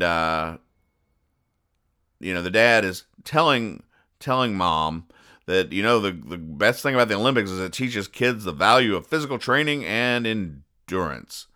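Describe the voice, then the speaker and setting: Low, crisp-voiced man, deadpan comic commentary. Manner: deadpan, mock-exasperated. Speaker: podcast host. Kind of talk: comic commentary reading newspaper comics aloud.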